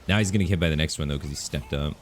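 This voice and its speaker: A man streaming a game live, talking in a relaxed, rambling way with lots of fillers, his voice bassy on a studio microphone at his home setup. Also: very fast, slight background noise. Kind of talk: casual live-stream commentary. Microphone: studio microphone through an audio interface.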